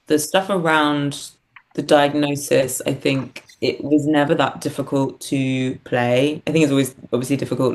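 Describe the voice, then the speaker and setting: A woman speaking casually as an interview guest, reflective and thinking aloud as she answers. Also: low voice. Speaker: podcast guest, writer and performer.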